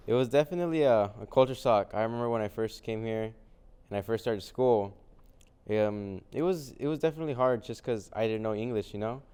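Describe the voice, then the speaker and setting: A young man from Mexico whose first language is Spanish, speaking in a low, smooth, mellow voice. He answers easygoing questions in loose, casual talk, dotted with fillers. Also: fairly quick. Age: young adult. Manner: relaxed, many fillers like "um" and "like". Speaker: guest, vintage clothing reseller. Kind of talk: casual interview conversation.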